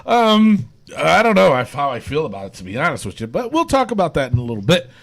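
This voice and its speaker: Lively, laughing male podcast host. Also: on the high side, fast talker, vocal fry. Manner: rambling, laughs often, loose slangy talk. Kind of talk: casual wrestling podcast chat.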